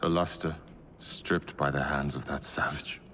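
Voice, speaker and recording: An actor playing a cold, grieving middle-aged Templar industrialist who sings softly and gives stern orders. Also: male voice, extremely low pitch, fairly even intonation, a little hiss or hum, heavily acted. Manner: slow, measured, commanding; sings softly. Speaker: villain. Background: game score music.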